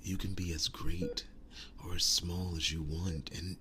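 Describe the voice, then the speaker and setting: A man playing a tender, wounded, earnest werewolf boyfriend in an intimate ASMR roleplay, breathy and often whispering, speaking in halting, soft reassurance. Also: low-pitched, flat delivery, noisy background. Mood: admiring, tender.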